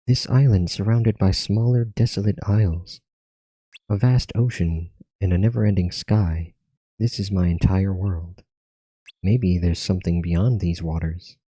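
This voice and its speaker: A man's voice: deep voice, unhurried, warm tone, slightly rough, no room sound, rough recording. A man reading aloud game dialogue as a dreamy, restless boy who longs for the outside world. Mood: wistful longing.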